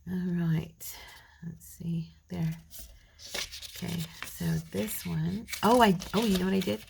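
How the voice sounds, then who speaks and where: A woman's voice: lowish voice, slow, vocal fry, slight background noise. A tired, middle-aged woman, chatting to her viewers and muttering to herself as she works through a craft tutorial. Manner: chatty, rambling, talks to viewers while working; mutters softly to herself while working. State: tired, gravelly voice. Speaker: craft channel host. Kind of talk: casual crafting tutorial chat.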